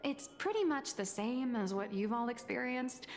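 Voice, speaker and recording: A woman voicing a poised female comic artist in a video game, explaining in a measured, lightly wry way. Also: a little high, close to an everyday voice. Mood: calm. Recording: game audio with long reverb and faint music.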